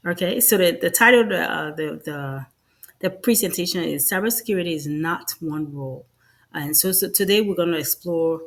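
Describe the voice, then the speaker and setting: Low-pitched Liberian woman presenting. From Liberia, West Africa. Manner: conversational, often repeats and restarts phrases. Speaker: host of an educational online show. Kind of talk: informal live presentation.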